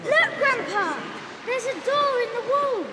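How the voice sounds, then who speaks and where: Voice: very high voice, lively intonation, unhurried, reverberant, long reverb tail. An actor voicing a poor, polite young boy, eager and full of wonder, in an audio drama. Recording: audio drama with loud crowd noise.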